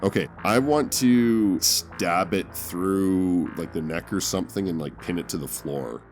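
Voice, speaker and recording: A man's voice: sits low, unhurried, soft background music. A man playing a tabletop game, bantering casually in a sweary, exclamatory way.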